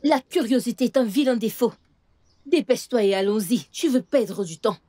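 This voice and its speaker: A woman's voice: on the high side, expressive, normal speed, warm tone. A woman playing a bossy aunt who scolds her niece, in a dubbed film. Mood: scolding. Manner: scolding, impatient.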